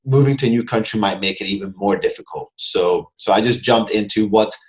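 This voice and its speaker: Guest coach, male, 20s, Hungarian-born, non-native English, slightly low pitch, thoughtful, video call sound. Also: fairly quick, rough recording. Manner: earnest, reflective, frequent restarts. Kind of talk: casual interview chat. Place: home room, video call.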